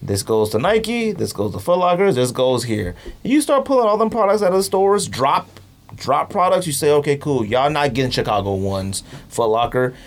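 Guy on a casual sneaker podcast, really lively swooping delivery, slangy, does little mock quotes. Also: on the high side, normal speed. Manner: slangy, animated, acts out imagined quotes. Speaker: podcast host.

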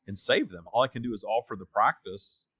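Male host, lower register, bright forceful tone, earnest and emphatic, conversational spiritual talk. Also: expressive, brisk, slightly rough, no room sound, low-quality recording. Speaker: podcast host and teacher of a spiritual practice.

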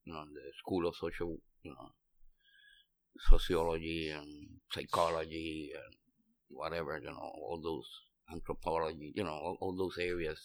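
A man's voice: extremely low pitch, fairly even intonation, moderate pace. A middle-aged man from Puerto Rico with a Puerto Rican accent, telling his story casually and in a rambling way as an interview guest. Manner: rambling, peppered with "you know". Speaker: interview guest, restaurant owner.

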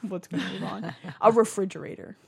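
A woman's voice: medium pitch, fairly even intonation, normal speed, vocal fry, a little mellow, slightly rough. A woman podcast co-host with a slight New Jersey accent, chatting casually, joking crudely and laughing often. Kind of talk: casual chat.

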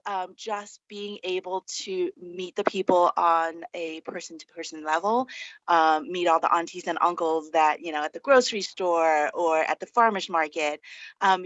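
A woman's voice: lowish voice, no echo. A woman from Singapore speaking casually as an interview guest, looking back on her experiences, on slightly lo-fi audio. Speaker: interview guest, food and travel journalist. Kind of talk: casual reminiscing interview chat.

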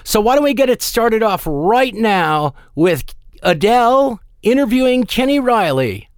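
A man hosting a music show with a hoarse voice, joking and self-deprecating in casual banter. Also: sits high, sing-song, measured pace, dry recording, clean studio sound. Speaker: host.

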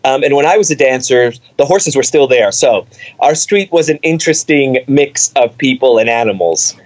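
A middle-aged man from Cuba, the artistic director of a dance company, answering interview questions in animated, storytelling fashion with many fillers. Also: medium pitch, moderate pace.

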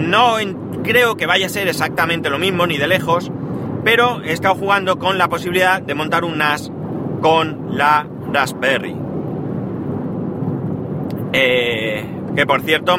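Chatty Spanish man over loud noise. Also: a little high. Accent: Spanish from Spain. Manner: chatty, rambling with fillers and self-corrections. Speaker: podcast host. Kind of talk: casual tech podcast monologue.

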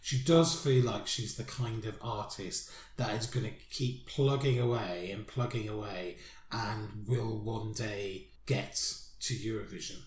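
A man's voice: lowish voice, fairly even intonation, unhurried, darkish tone. Chatty man reviewing songs, roomy sound. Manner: chatty, laughs often, rambling asides. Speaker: podcast co-host. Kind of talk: casual music review chat. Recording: roomy echo.

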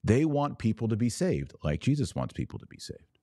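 A man's voice: medium pitch, very animated, a little fast, warm tone, dry recording, studio quality. An American man hosting a podcast, explaining religion informally and emphatically, posing rhetorical questions and answering them himself. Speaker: podcast host answering viewer comments.

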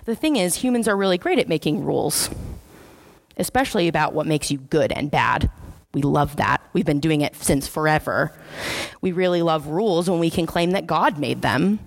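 A woman's voice: sits low, animated, normal speed, vocal fry. A woman preacher, giving a sermon in a church in a conversational, wry, self-deprecating way. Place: church sanctuary.

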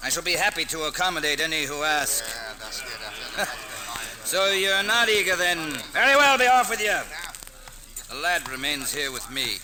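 A man's voice: on the high side, big pitch swings, normal speed, pauses often, a crowd in the background. An actor playing a gallant, kindly nobleman swordsman, speaking theatrical archaic English in an old radio drama. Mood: bold, taunting. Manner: theatrical, archaic courtly speech. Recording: noisy vintage radio recording.

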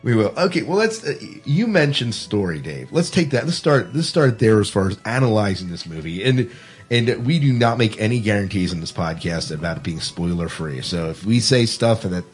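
A man's voice: fairly low pitch, expressive, moderate pace, slightly rough, faint music behind. A man hosting a casual film discussion, repeating words as he steers the conversation along. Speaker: podcast host.

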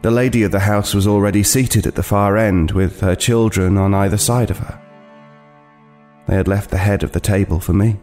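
An actor playing an uneasy homeless man who narrates his horror tale in a halting, brooding way. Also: lowish voice, steady tone, a little mellow, natural voice. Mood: haunted, halting. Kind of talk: dramatic first-person horror narration. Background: music.

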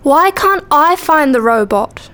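A woman's voice: sits high, expressive, takes it slowly, fairly bright tone, exaggerated voice. A female performer playing a curious, stubborn young daughter with a plain, childlike way of talking in a radio play.

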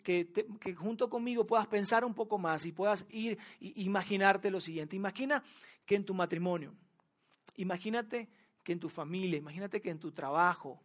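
A man's voice: high-pitched, a bit bright. A man from Venezuela with a Venezuelan accent, preaching a sermon to a congregation, talking to them directly and repeating his key phrases for emphasis. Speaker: pastor.

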